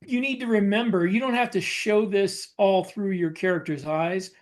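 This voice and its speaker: A man's voice: high-pitched, moderate pace. A man co-hosting a writers' podcast, explaining things in a casual panel discussion. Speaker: podcast co-host and military science fiction author.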